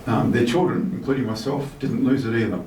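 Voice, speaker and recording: A man in his fifties giving a speech, reading a message and adding personal remarks in a relaxed way with "um" fillers. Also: medium pitch, brisk. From Australia. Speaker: guest speaker reading a minister's message. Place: large hotel reception hall. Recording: echoey hall from far off with faint noise.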